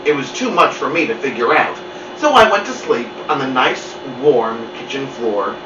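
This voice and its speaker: A man reading a children's book aloud in an animated storytelling style. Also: mid-range voice, normal speed, noisy background. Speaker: story-time reader. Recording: muffled, roomy, distant mic.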